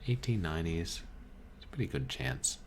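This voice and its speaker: A man streaming a book reading, chatting casually with his chat in a calm, musing, halting way. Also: low voice, vocal fry, slight background noise. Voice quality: calming voice. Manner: musing, soft-spoken, halting. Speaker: streamer reading books aloud.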